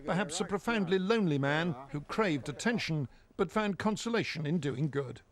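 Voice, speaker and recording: Higher-pitched man narrating a news report. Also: expressive, moderate pace. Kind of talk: scripted news package narration.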